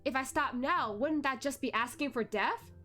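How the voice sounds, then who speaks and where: Brash young male cultivation disciple played by a woman reader, excitable, easily flustered, raised pitch, web-novel read-aloud. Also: moderate pace, faint music behind. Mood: defiant.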